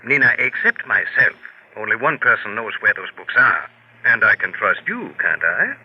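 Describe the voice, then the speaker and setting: A man's voice: lowish voice, very animated, normal speed, slightly rough, exaggerated voice. An actor playing a smug, suave male blackmailer in an old-time radio crime drama, speaking with mocking politeness. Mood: assured. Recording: muffled, narrow old radio recording.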